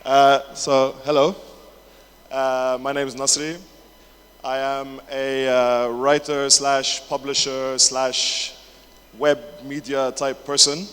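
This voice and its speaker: A man's voice: mid-range voice, little pitch movement, slow, fairly bright tone, a faint crowd. A man in his twenties giving a casual, jokey conference talk, full of fillers, restarts and swearing. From England. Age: 20s. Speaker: conference speaker. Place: large conference hall, indoors. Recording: echoey hall with faint noise.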